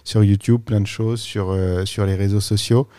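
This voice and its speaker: A man hosting a podcast and interviewing a guest in a relaxed way with many fillers. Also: fairly low pitch, moderate pace, smooth, dry recording. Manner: relaxed, filler-heavy questioning. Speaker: podcast host.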